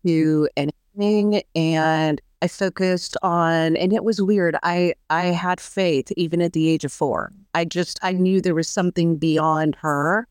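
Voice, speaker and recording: A woman in her fifties from Southern California, a podcast guest telling her story in an earnest, halting way. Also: lowish voice, steady tone, a little mellow, clear, resonant tone, no echo. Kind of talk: personal storytelling interview.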